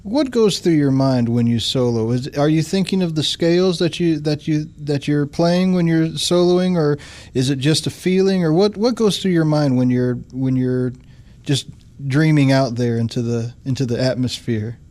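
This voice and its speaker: A man's voice: fairly high pitch, normal speed, dark tone. A man hosting a radio interview in a studio, asking long, curious questions and affirming his guest. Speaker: radio show host. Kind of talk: interview conversation.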